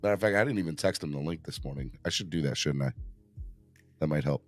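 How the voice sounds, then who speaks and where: A man hosting a casual live sports fan podcast, urging viewers to subscribe, with his voice just back after being hoarse. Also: faint music behind. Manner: animated, jumps into high put-on voices for quick bits. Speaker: podcast host.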